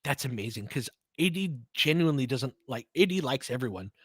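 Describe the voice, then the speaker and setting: A man's voice: medium pitch, lively intonation, a little fast, fairly bright tone, husky, no echo. Guy doing casual basketball play by play, chatty analytical fan talk, close dry audio. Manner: conversational, analytical fan talk with mild slang. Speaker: play-by-play commentator and host. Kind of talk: casual live basketball commentary, chatting with listeners.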